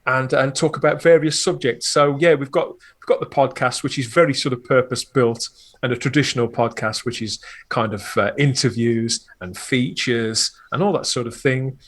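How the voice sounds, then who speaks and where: A man with a mid-pitched voice talks as a podcast producer in an interview. He is chatty and enthusiastic, laying things out at length in a conversational way. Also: steady tone, moderate pace. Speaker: podcast host and producer, guest.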